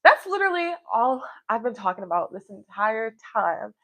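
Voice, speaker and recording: A woman's voice: a little high, sing-song, normal speed, a bit bright. Woman rambling emphatically in casual livestream chat. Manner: rambling, emphatic, often repeats "you know" check-ins to listeners. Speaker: livestream host talking to viewers. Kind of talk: casual personal livestream chat, reflective and motivational.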